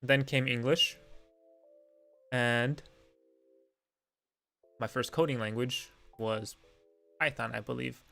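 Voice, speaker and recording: A man's voice: medium pitch, steady tone, slow, gravelly, pauses often, fairly bright tone, faint music behind. A young man whose first language is Lithuanian, hosting a casual live-stream Q and A, rambling and self-deprecating with many fillers.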